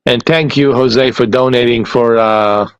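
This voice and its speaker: A man's voice: medium pitch, steady tone, a little slow, clean tone, no room sound, highs cut off. A man hosting a casual stream and podcast, laughing often and swearing freely. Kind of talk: casual chat.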